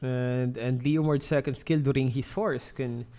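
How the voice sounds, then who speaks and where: A man's voice: medium pitch, fairly even intonation, unhurried, dark tone, clear, resonant tone. A man casting an esports match, chatty and joking between plays. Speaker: esports caster. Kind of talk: lively game commentary.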